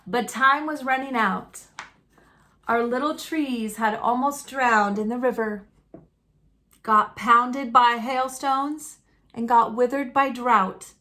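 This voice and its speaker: Female storyteller for a picture book read-aloud. Bright, forward tone, unhurried, folksy and expressive. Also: a little high. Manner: folksy, dramatic, deliberate delivery.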